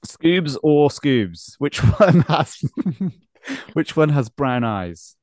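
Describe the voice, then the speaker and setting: A man's voice: fairly high pitch, lively intonation, very slow, a little mellow, dry recording. A man hosting a casual, jokey sports chat and quiz, often laughing through his sentences. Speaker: podcast host and quizmaster.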